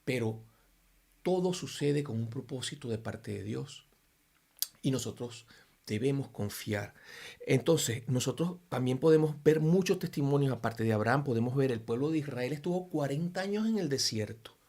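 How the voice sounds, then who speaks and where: A man's voice: mid-range voice, expressive, normal speed, creaky, darkish tone. A man from Venezuela with a Venezuelan Spanish accent, giving an informal, earnest Bible reflection full of rhetorical questions and restarts. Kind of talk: informal sermon-style reflection.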